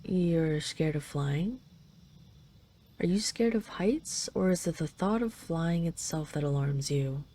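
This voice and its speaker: A woman's voice: deep voice, expressive, slow, dark tone, exaggerated voice. A woman voicing a possessive yet tender dragon queen in an intimate roleplay audio, teasing and coaxing the listener. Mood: wistful, teasing.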